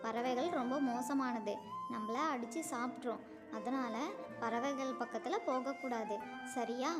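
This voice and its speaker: A woman's voice: on the high side, fairly even intonation, measured pace, loud music behind the voice, close to an everyday voice. A woman voicing a doting, protective mother turtle in a children's bedtime story, tender and cautioning in manner.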